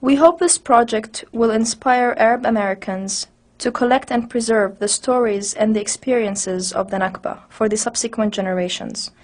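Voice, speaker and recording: Woman giving a formal project statement. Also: a little high. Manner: clear, formal. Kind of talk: prepared statement.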